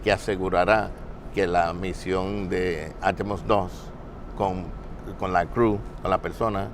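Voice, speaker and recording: A man, an engineer in a roundtable conversation, who speaks haltingly and repeats words. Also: deep voice, steady tone, background noise. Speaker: NASA engineer.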